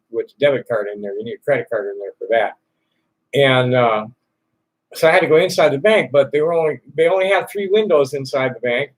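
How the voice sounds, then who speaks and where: A man's voice: mid-range voice, low-quality recording. Rambling man full of fillers. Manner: halting, many fillers and repeated starts. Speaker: livestream host answering chat questions. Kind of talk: rambling casual chat. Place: Tucson, Arizona.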